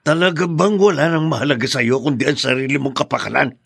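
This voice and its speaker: An actor playing an indignant, protective old grandfather in a radio drama, husky and emphatic. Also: mid-range voice, fast, fairly bright tone, dry recording, studio quality. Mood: indignant, scolding. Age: older. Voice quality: gravelly, husky. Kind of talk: Tagalog radio drama acting.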